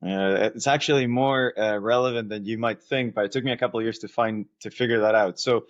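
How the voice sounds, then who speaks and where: Low-voiced man, slightly muffled audio. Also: steady tone, fairly quick. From Argentina. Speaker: company founder being interviewed. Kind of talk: conversational interview answers.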